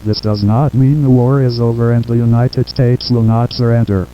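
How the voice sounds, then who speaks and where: A synthetic man's voice playing a solemn president giving a formal, measured wartime address to the nation. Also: medium pitch, flat delivery, close to an everyday voice. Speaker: synthesized voice reading a president's statement. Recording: dull lo-fi broadcast with hum and noise.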